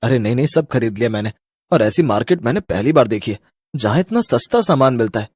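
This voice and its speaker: A man's voice: medium pitch, lively intonation, fast, a little mellow, breathy edge, no room sound, clean studio sound. A man playing an anxious, bewildered husband and father in a cartoon horror story, with exclamatory delivery. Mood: puzzled. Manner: exclamatory, worried, puzzled.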